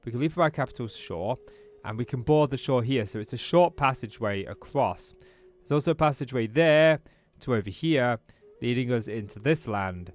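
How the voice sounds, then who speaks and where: Chatty British man with a lively voice. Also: mid-range voice, moderate pace. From Britain. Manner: chatty, thinking aloud while playing. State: recovering from a throat infection. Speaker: gaming YouTuber. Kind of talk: casual let's-play commentary.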